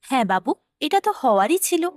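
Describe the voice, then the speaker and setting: A woman plays a mother goddess in a mythological cartoon. Her voice is fairly high, composed and affectionate, with firm authority. The recording is dry. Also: big pitch swings, normal speed, dry recording. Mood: assured, motherly. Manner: assured, motherly.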